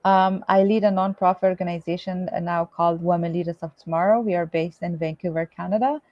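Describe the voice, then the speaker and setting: Low-voiced woman. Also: fairly even intonation, darkish tone, no echo, dull audio. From Afghanistan. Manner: measured and sincere, with frequent pauses. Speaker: guest, former Olympian and human rights activist. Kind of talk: earnest conversational interview answers.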